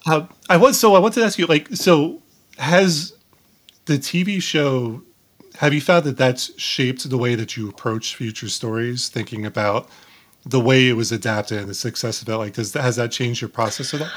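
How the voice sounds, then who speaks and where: A man interviewing casually as a podcast host, in a halting way, often rephrasing as he goes. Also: mid-range voice, a bit bright.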